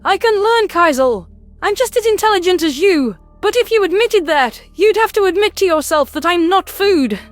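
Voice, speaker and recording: A man voicing a small, chirpy alien rodent, timid but defiant, in a squeaky, thin voice for a sci-fi audio drama. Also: expressive, normal speed, faint music behind.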